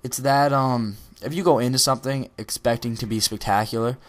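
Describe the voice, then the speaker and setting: A man's voice: medium pitch, fairly even intonation, fairly quick. Rambling teenage boy, lots of ums. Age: teens. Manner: rambling, many ums and restarts. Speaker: gaming video creator. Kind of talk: casual motivational talk over gameplay.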